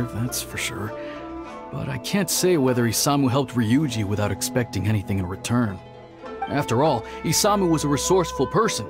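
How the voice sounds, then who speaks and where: Man acting brusque police officer, average pitch, dry, measured, matter-of-fact, video game character, prominent music. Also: close to an everyday voice.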